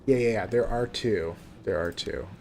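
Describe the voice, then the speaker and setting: A man casually chatting while he plays a game on a live stream, talking in a relaxed, soothing way and often restarting his sentences. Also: lowish voice, normal speed, vocal fry, slight background noise. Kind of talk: casual live gameplay commentary. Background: game music.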